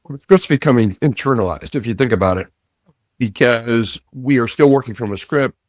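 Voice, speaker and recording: A man hosting a casual interview, speaking in a measured way with dry, wry humor. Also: mid-range voice, no room sound. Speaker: host.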